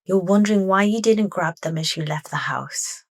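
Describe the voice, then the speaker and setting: A woman leading a guided meditation with soft, unhurried, deliberate phrasing. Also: on the low side, no room sound, studio quality.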